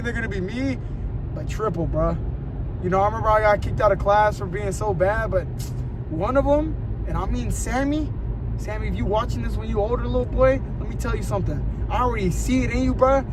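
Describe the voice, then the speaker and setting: A young man with a fairly high voice, sniffling from a cold as he rambles and jokes to his viewers. He talks casually with lots of fillers while driving, and road noise hums clearly under his voice inside the car. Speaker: vlogger. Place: inside a moving car at night. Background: steady car and road noise.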